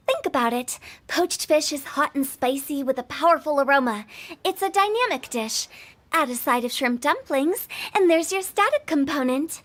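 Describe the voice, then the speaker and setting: A woman's voice: sits high, lively intonation, normal speed, fairly bright tone. A woman voicing a playful, mischievous young woman who teases and lectures with flair. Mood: teasing. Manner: teasing, sing-song, lecturing with flair.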